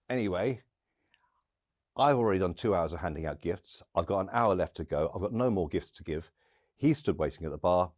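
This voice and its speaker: Deep-voiced man telling stories, very dry sound. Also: a little mellow, dry recording. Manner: dramatic pauses and acted-out dialogue. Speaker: storyteller and podcast host. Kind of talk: theatrical first-person storytelling monologue.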